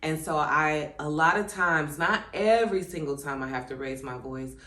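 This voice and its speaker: Woman in her 30s chatting to camera, low voice, lots of ums and likes, roomy echo. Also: moderate pace, bright, forceful tone. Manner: conversational, reflective, many "um" and "like" asides. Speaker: channel host. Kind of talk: casual reflective vlog, reading from a workbook. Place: indoors at home, seated. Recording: echoey room.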